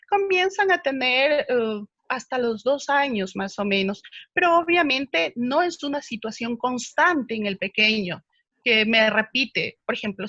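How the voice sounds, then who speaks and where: A woman's voice: a little high, a bit bright, dry recording, muffled recording. A woman speech therapist answering viewer questions, explaining patiently with frequent hesitations.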